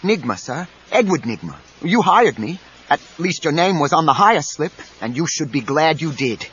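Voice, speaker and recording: An actor playing an obsessive, grandiose inventor with an ingratiating manner in a 1990s audio drama. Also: male voice, fairly high pitch, normal speed, slightly rough, full character voice. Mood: fawning excitement. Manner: over-excited, ingratiating, grandiose. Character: eager, obsessive, fawning male inventor, nursing resentment. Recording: dull cassette, faint noise.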